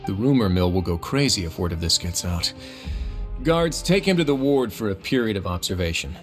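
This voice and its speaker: Authoritative duke, lowish male voice, measured, dry, composed, game dialogue over music. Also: big pitch swings. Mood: brisk, composed. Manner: measured, matter-of-fact, dry. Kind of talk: video game voice acting.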